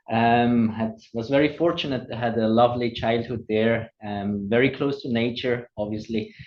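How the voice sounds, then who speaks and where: A man's voice: on the low side, dull audio. A man in his forties with a Swiss accent, a podcast guest speaking with frequent ums and uhs. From Switzerland. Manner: hesitant, many ums and uhs. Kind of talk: podcast interview.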